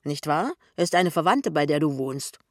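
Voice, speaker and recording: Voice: medium pitch, animated, normal speed, dry recording, clean studio sound, close to an everyday voice. A narrator voicing an indignant male office colleague in an audiobook, firm, reproving, clipped and authoritative. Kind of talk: audiobook character dialogue.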